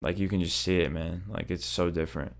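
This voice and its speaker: A man's voice: low voice, fairly even intonation, brisk, vocal fry. A young man chatting casually as a podcast guest, laid-back, swearing a lot and filling his talk with slang. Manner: laid-back, swears often, lots of "like" and "bro". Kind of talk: casual chat.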